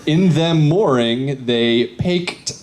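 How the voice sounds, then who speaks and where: A man on a convention panel reading garbled fan fiction aloud in a drawn-out way. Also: a little high, darkish tone.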